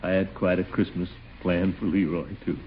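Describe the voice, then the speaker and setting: An actor playing a blustery, sentimental middle-aged American uncle in a 1940s radio comedy, with a theatrical, drawn-out delivery. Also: male voice, low-pitched, fairly even intonation, close to an everyday voice. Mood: mournful. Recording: muffled, noisy 1940s radio recording.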